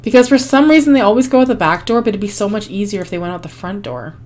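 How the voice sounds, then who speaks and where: A woman hosting a life-sim game video, her voice hoarse and fading, chatting casually with frequent ums and small laughs. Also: medium pitch, expressive, quick pace, a little mellow. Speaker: gaming channel host. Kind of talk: casual gameplay commentary.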